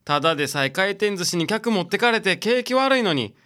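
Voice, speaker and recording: A man's voice: high voice, animated, a little fast, sharp tone. A man playing a brash, grumbling young sushi delivery worker with a Kansai accent in a radio drama. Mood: indignant. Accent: Kansai Japanese. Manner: blunt, complaining, casual. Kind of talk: community radio drama acting.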